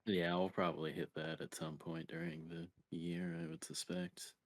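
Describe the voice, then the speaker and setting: Deep-voiced man chatting casually. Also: level tone, normal speed, dry recording. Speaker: podcast co-host. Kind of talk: casual video game podcast chat.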